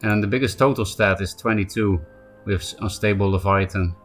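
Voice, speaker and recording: Man, Polish accent, fairly low voice, chatty game streamer, faint music behind. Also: fairly even intonation. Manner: chatty, answers chat by name, quick asides. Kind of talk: casual live-stream chat while playing.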